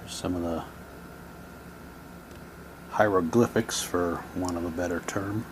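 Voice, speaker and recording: A man giving halting, low-key, wry commentary as he casually unboxes items and reads captions aloud. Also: steady tone, noisy background. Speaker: unboxing video host.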